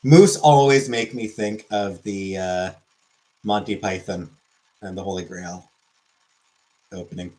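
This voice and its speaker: A man's voice: deep voice, fairly even intonation, slow pace, pauses often, a little mellow, rough recording. A man hosting a livestream, rambling and joking as he reads chat messages aloud. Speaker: livestream host. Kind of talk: casual chat.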